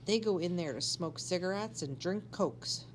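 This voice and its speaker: Woman acting out scheming schoolgirl, low-pitched, conspiratorial, insistent, storybook character, faint noisy recording. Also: moderate pace, close to an everyday voice. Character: bossy, scheming schoolgirl telling sinister tall tales.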